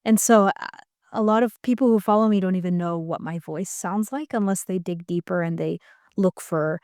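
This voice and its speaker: A woman's voice: on the low side, moderate pace, a little mellow, no room sound, studio quality. A woman from the United States, a podcast guest speaking in a thoughtful, hesitant way with many restarts. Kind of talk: reflective interview talk.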